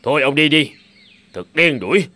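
Whiny greedy peasant. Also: male voice, fairly high pitch, normal speed. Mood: irritated. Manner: whining, grumbling, excitable. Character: greedy, foolish, whiny poor peasant man. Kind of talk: cartoon folk-tale acting.

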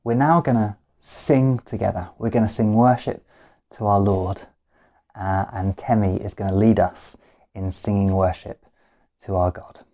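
A man's voice: on the low side, fairly even intonation, unhurried, warm tone. A British man in his thirties, a pastor welcoming people and giving announcements for an online church service. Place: living room at home, indoors.

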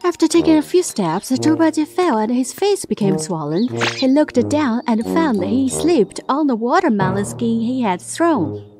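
A woman reads a children's tale in a highish voice. Her storytelling is scripted and very animated in pitch, set over clearly audible music. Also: normal speed.

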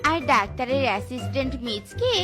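A woman's voice: high voice, sing-song, fast, music in the background. A woman narrating a comic cartoon story in a scripted, matter-of-fact way.

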